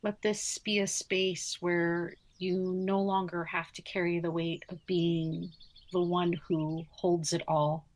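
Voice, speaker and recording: Slow, even-toned woman guiding meditation. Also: on the low side. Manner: soothing, unhurried, with long pauses between short phrases. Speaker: yoga nidra guide. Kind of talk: guided relaxation meditation, slow spoken instructions.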